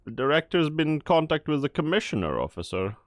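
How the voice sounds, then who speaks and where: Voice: medium pitch, very animated, quick pace, dry recording, clean studio sound. Officious subordinate officer, voiced in a fan dub.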